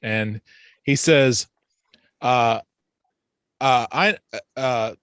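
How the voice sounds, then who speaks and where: A man's voice: medium pitch, extremely slow, fairly bright tone, clear, resonant tone, dry recording, clean studio sound. A man talking casually about books and films as a podcast host.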